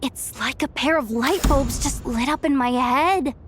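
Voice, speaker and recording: A cheeky young treasure-hunting girl from a video game, with a high voice. She talks dramatically, half to herself, scheming and easily rattled. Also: measured pace, heavy background noise. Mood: cocky, explaining. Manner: chatty, dramatic, self-talking.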